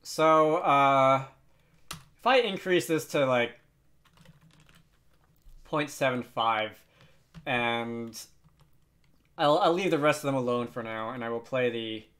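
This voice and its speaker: A man hosting a casual software tutorial, thinking aloud hesitantly and adding wry, self-deprecating asides. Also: medium pitch, slow, long pauses. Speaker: tutorial host.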